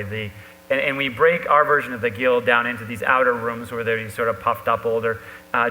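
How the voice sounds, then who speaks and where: A man speaking as an author on a live panel, rambling conversationally with loose, halting asides. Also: medium pitch, bright, forceful tone. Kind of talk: rambling conversational talk at a live book event. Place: stage at a live talk event. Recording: echoey hall, faint background hum.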